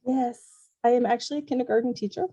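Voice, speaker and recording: A woman's voice: a little high, fast, dark tone, breathy edge, dull audio. A woman chatting casually and expressively as an author guest in an informal interview. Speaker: podcast guest, novelist and kindergarten teacher. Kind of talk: casual chat.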